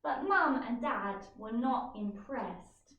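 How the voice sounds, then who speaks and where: Female teacher, expressive storybook reader: high voice, slow measured delivery, distant mic, heavy room echo. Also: highs cut off. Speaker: teacher reading a story to children. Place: school room with young children present.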